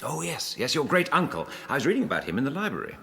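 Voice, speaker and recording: An actor playing a courteous, slightly fussy 1920s gentleman scholar with an upper-class English accent in a radio drama. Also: mid-range voice, wildly swooping pitch, quick pace, gravelly, husky, full character voice. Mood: curious, flustered. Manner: polite, slightly fussy, donnish. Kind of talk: radio drama acting, letter narration.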